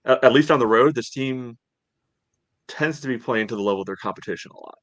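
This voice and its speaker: A man's voice: medium pitch, fast, no room sound. A man co-hosting a podcast, giving casual sports analysis and reasoning aloud in a conversational way. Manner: conversational, reasoning aloud with frequent "you know". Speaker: podcast co-host.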